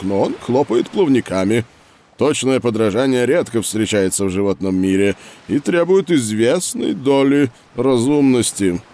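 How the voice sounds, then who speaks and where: A man playing a patient scientist who explains experiments to children in a measured, didactic way in an educational audio drama. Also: on the low side, dark tone, slight background noise, natural voice.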